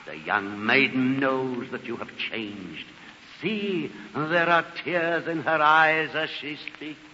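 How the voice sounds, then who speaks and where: An actor playing a solemn, commanding ghost in a 1940s radio drama, with a grave, drawn-out delivery. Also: male voice, medium pitch, pushed and bright, a little hiss or hum. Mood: stern. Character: solemn, commanding male ghost showing the past. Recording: dull old radio, long reverb, faint music.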